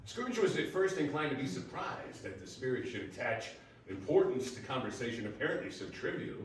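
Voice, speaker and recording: Male narrator in a very echoey room. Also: mid-range voice. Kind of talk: scripted story narration. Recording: very echoey room, distant mic, faint noise.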